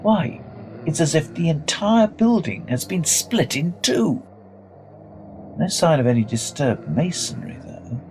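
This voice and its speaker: An apprehensive gentleman traveller, speaking slowly with wide swings of pitch. He is polite but halting and exclamatory, in period radio drama style. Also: sing-song, a little hiss or hum. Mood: alarmed. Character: uneasy gentleman traveller, polite, easily unnerved.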